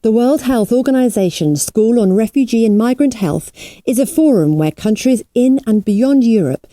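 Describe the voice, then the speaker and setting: A woman reading scripted documentary narration in a measured, formal way. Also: fairly high pitch, animated, dark tone, dry recording. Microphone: studio voice-over.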